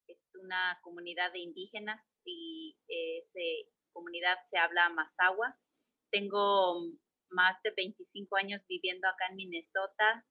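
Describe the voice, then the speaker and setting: A woman's voice: lowish voice, measured pace, dull audio. Hesitant middle-aged Mexican-born woman. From State of Mexico. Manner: hesitant, many fillers and restarts. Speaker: guest. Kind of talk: conversational interview answers.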